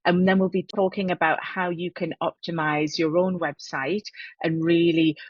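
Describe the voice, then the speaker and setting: A low-pitched woman in middle age presents a webinar, pausing often on fillers and restarting phrases. The sound is dry and a little dull, with no room around her voice. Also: little pitch movement, no echo. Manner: many fillers, repeated words, friendly. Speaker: webinar co-host, hospitality marketing consultant.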